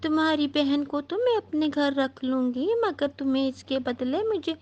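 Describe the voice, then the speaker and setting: A woman's voice: high voice, lively intonation, normal speed, a little mellow, muffled recording. A woman voicing a bossy, brusque crow aunt with a motherly side in a cartoon story. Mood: grudging.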